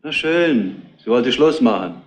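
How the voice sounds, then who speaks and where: An actor playing a hot-tempered, defensive truck driver under suspicion in a retro crime radio play. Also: male voice, mid-range voice, animated, unhurried, lightly acted. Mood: surly. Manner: defensive, surly, argumentative. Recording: roomy, echoing studio sound.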